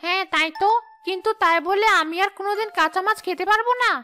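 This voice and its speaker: A woman's voice: extremely high pitch, sharp tone. A woman voicing a sly, sulky, greedy female ghost wife in a cartoon, whining and scheming. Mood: eager, pouting. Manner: whining, scheming, quick to complain. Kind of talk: cartoon ghost-story dialogue.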